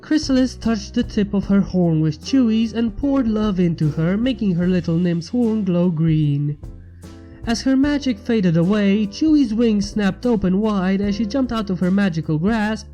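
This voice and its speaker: A woman's voice: mid-range voice, expressive, unhurried, mellow, soft background music. A woman reading fan fiction aloud as scripted story narration.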